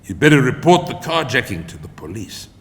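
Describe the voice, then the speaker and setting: Male reader as commanding, protective hero of a thriller storybook, steady authority, long hall reverb. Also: mid-range voice, brisk, gravelly, voice pushed down. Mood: gruff. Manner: firm, in control. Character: protective, commanding South African man, armed rescuer.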